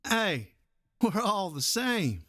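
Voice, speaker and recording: A man's voice: high-pitched, very animated, slow, a bit bright, a little hiss or hum, exaggerated voice. A man playing a comic, evasive president who talks like a casual, defensive slacker in an interview. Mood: whiny, defensive. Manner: casual, defensive, drawling dude talk. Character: evasive, whiny, menacing slacker version of a male president. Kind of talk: improvised-sounding comic interview acting.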